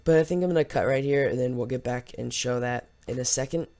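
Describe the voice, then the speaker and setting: A man with a slightly hoarse voice giving casual, rambling, unscripted let's play commentary as host of a gaming video. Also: medium pitch, fast, darkish tone. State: slightly hoarse after losing voice from yelling.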